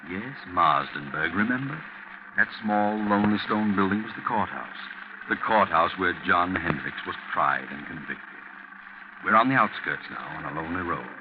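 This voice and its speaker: A man narrating a 1940s radio suspense drama in a brooding, dramatic manner, addressing the listener directly. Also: low-pitched. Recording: noisy, muffled 1940s radio recording.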